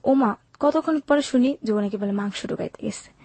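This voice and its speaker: A woman's voice: fairly high pitch, normal speed, darkish tone, husky, no room sound. A young woman with a Bangladeshi accent tells her life story candidly and colloquially, as an interview guest, often quoting what people said. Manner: colloquial, recounts events with quoted dialogue. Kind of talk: candid personal storytelling in conversation.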